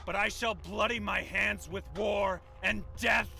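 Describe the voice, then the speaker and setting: High, slow, deranged cult preacher. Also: male voice, steady tone, creaky, sharp tone, soft background music, exaggerated voice. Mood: venomous, ranting. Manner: theatrical, archaic sermonizing with drawn-out curses. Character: deranged, pompous vampire cult preacher, venomous and fanatical. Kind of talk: video game voice acting.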